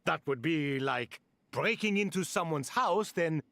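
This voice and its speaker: Casting: grumbling, excitable ancient guardian for a game, high voice, big melodramatic swings. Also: male voice, unhurried, creaky, full character voice. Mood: indignant. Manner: theatrical, emphatic. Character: excitable, grumbling old-timer guardian, indignant and theatrical. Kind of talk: video game voice acting.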